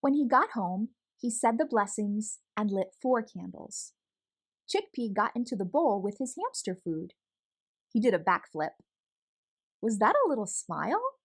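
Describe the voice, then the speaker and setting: A woman reading a children's picture book aloud in an expressive, animated storytelling manner. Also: medium pitch, unhurried, husky.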